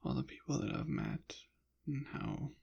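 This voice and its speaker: Male ASMR voice, unhurried and halting, drifting between gravelly murmur and whisper, apologetic delivery. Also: medium pitch, wildly swooping pitch, a little mellow, dull audio. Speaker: ASMR channel creator. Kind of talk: soft-spoken ASMR ramble, partly whispered.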